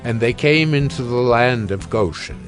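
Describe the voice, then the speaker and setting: A male narrator at a middle pitch tells the scripture story in a steady, even way. Each clause is paced carefully. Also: moderate pace, faint music behind.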